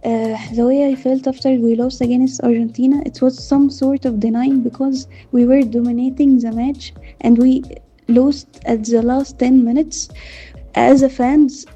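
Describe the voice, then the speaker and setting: A woman's voice: high voice, little pitch movement, moderate pace, mellow, slightly rough, muffled recording, faint music behind. A woman from Egypt, a football fan, describing her team's loss in an earnest, hesitant way.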